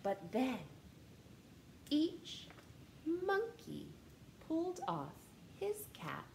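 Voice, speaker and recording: A woman in her thirties reads a picture book to young children, slow and expressive. Her voice sits a little high. She speaks from a couch at home, recorded on the camera mic, with a roomy echo and faint hiss. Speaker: storytime reader. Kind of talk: children's read-aloud. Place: living room, seated on a couch. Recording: echoey room with faint hiss.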